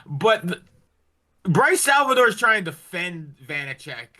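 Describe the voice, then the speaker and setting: A man with a high, very sharp and forceful voice talks like a fed-up sports fan on a podcast. He rants casually, with plenty of cursing and exasperation. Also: sing-song, measured pace. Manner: ranting, heavy swearing, exasperated. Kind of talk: casual hockey fan chat.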